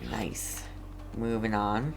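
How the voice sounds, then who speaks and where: A streamer giving casual gameplay commentary in a hesitant, low-key way, talking back to the game's characters. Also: steady tone, slow, faint music behind. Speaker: game streamer.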